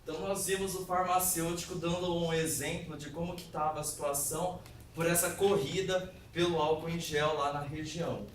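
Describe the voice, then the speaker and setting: Brazilian guy presenting his paper, pretty high voice, halting, echoey room w mic far off. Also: fairly even intonation. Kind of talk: academic conference presentation. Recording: echoey room, distant mic, faint noise.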